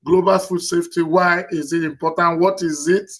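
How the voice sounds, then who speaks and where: A man's voice: sits high, fairly even intonation, moderate pace, a bit bright. Polite, rambling male interview host. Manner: rambling, polite, many fillers. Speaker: show host. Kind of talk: interview hosting.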